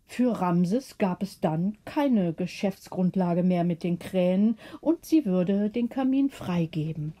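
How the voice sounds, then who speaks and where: Female audiobook narrator: scripted bedtime tale, measured and expressive, slow pace, gentle dark tone. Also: lowish voice.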